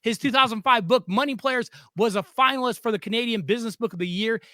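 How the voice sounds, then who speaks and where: Excited host guy, pretty high sharp voice, dry close mic, chatting sports. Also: fast, no room sound. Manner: eager, enthusiastic introductions and questions. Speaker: podcast host. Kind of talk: casual sports interview.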